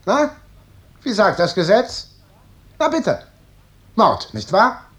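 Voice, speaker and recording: Sardonic professor, highly animated pitch. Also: male voice, slow pace, full character voice. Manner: sardonic, lecturing. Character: senior medical professor, stern, sardonic hospital chief. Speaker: chief physician. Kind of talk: 1950s German radio drama acting.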